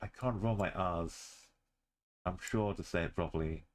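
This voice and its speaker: A man's voice: low-pitched, measured pace, slight background noise. A man streaming a game, thinking aloud in short muttered phrases. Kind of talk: commentary while playing a game.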